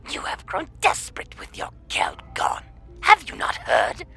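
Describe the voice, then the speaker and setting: A man's voice: sits high, wildly swooping pitch, a little slow, vocal fry, sharp tone, husky, faint music behind, voice pushed up, exaggerated voice. A man voicing a proud, gluttonous, mocking alien bounty hunter in a radio play, with a sneering delivery. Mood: taunting. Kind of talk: radio play character acting.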